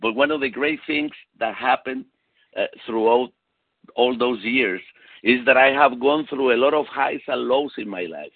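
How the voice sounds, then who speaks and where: A man's voice: mid-range voice, normal speed, husky, no room sound. A man with a Latin American Spanish accent giving an emphatic motivational business talk over a conference call, repeating key phrases for weight. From South America and Puerto Rico. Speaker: motivational sales speaker, executive consultant. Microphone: phone conference call. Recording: tinny narrow phone-line sound.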